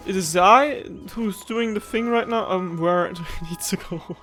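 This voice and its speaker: A man's voice: high-pitched, moderate pace, fairly bright tone, soft background music. A man giving casual Let's Play commentary in a joking, incredulous manner. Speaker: gaming video host.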